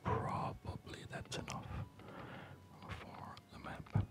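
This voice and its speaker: A person whispering ASMR gameplay commentary, hesitantly thinking aloud as the host of a gaming video. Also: slow pace.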